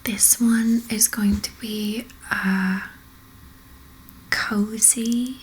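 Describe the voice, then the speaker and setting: A woman speaking in a soft, breathy whisper as an ASMR host, gently and hesitantly guiding listeners to relax. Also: mid-range voice, fairly even intonation, drawn-out words, slight background noise, rough recording. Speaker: ASMR host, energy healer.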